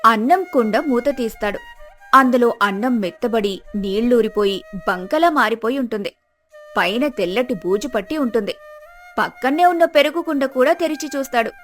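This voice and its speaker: A woman's voice: fairly high pitch, lively intonation, normal speed, faint music behind. A woman narrating a moral story in a scripted storytelling style.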